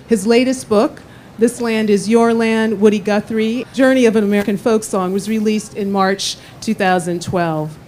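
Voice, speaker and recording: A woman's voice: mid-range voice, steady tone, moderate pace, darkish tone, a little hiss or hum. A woman moderating a panel, formally reading out introductions with small hesitations.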